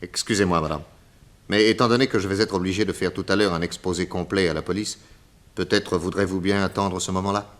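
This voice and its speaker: An actor playing an assured, courteous private detective in an articulate, theatrical 1960s French radio serial style. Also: male voice, low voice, steady tone, a little fast, fairly bright tone. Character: famous male private detective, assured, indignant under accusation.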